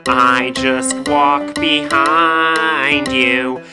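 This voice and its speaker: A woman's voice: rumbling low, little pitch movement, extremely slow, loud music behind the voice, exaggerated voice. A woman playing an overlooked male superhero sidekick in a musical audio drama, hesitant and self-deprecating. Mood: wistful, resigned. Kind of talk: musical audio drama, dialogue and solo song.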